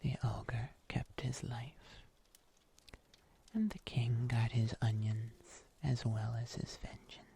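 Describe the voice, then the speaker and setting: Whispery old-tales monster hunter someone acting it for asmr, super slow, muted soft tone, hissy background. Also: medium pitch, fairly even intonation. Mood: calm, storytelling. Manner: slow, deliberate, hushed storytelling with long pauses. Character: gruff seasoned fantasy monster huntsman, calm storyteller. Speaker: ASMR performer.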